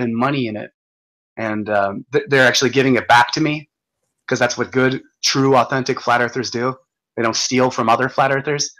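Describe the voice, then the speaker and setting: A man hosting a livestream, ranting in a profane, stumbling, repetitive way while reading comments, his voice going hoarse. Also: mid-range voice, a bit bright, rough recording. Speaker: livestream host. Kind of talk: rambling livestream rant.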